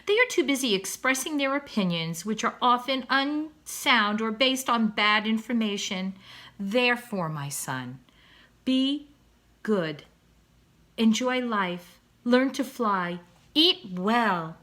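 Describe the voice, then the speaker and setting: A woman's voice: a little high, animated, takes it slowly, bright, forceful tone, exaggerated voice. A woman voicing a wordy father swan from a children's storybook, kindly and encouraging, speaking in a formal, emphatic, oratorical way. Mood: earnest, lecturing. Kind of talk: children's storybook read-aloud.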